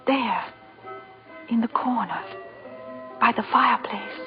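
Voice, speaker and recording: A woman's voice: a little high, slow. An actress playing a sensible, tender mother, speaking earnestly in a 1940s radio drama. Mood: astonished. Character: sensible, tender young mother of a small girl. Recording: muffled 1940s radio recording with music.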